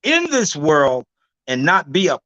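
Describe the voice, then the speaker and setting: Older guest in his sixties with a loud preachy delivery, high voice that swings all over, dry sound. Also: wildly swooping pitch, unhurried, dry recording, muffled recording. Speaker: guest herbalist. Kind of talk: preacher-like storytelling.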